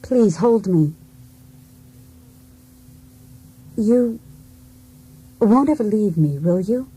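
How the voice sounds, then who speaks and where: Husky, dark-toned disillusioned wife. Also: female voice, mid-range voice, lively intonation. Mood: needy. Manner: deliberate, introspective, theatrical. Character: cold, disillusioned wife determined to break free. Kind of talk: radio drama monologue.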